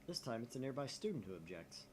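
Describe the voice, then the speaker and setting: A man co-hosting a visual novel playthrough, switching between casual chat and animated narration of the game text. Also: mid-range voice, fast talker, a little hiss or hum.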